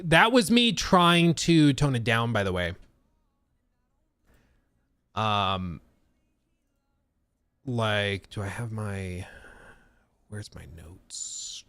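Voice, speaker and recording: A man's voice: fairly high pitch, takes it slowly, pauses often, fairly bright tone, clear, resonant tone, dry recording. A man hosting a casual live stream, slightly wheezy and out of breath, rambling with lots of fillers and restarts. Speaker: streaming host.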